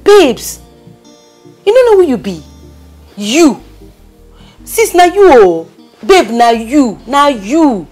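A woman's voice: sits high, sing-song, takes it slowly, pauses often, darkish tone, soft background music, exaggerated voice. A woman with a Nigerian accent acting a bossy, loyal young friend in a drama, speaking emphatically with Pidgin slang. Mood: exasperated, insistent. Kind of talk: naturalistic film drama acting.

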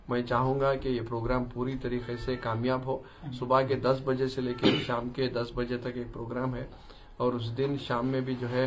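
A man in his forties with a Deccani Urdu accent, giving a halting public message mixed with English phrases. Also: medium pitch. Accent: Deccani Urdu of southern Andhra Pradesh. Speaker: local politician. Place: small crowded office room indoors. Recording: room noise in background.

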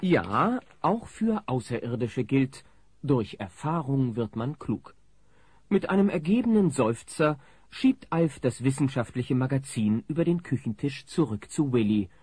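A man acting as the wry narrator of a family comedy audio play, reading in a husky, mellow voice. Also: mid-range voice, big pitch swings, a little slow, dry recording.

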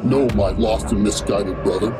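An actor playing a defiant, principled warrior brother in an audio drama, firm, earnest and restrained. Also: male voice, lowish voice, moderate pace, lightly acted. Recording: loud music under the voice.

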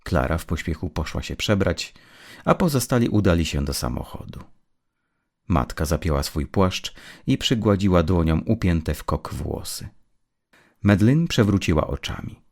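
A man reads dark fiction as an audiobook narrator, in a measured, literary storytelling style. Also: sits low, very animated, creaky.

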